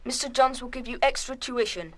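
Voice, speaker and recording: A woman's voice: a little high, fairly quick, natural voice. A woman playing a stern, fair-minded head pupil, formal and authoritative, in a radio play. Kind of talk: full-cast radio play acting.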